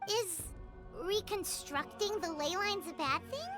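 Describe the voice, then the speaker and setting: A woman voicing a small, excitable, childlike fairy companion in a video game, squeaky, chatty and dramatic. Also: a little slow, music in the background. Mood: alarmed. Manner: exclamatory, eager. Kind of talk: animated game voice acting.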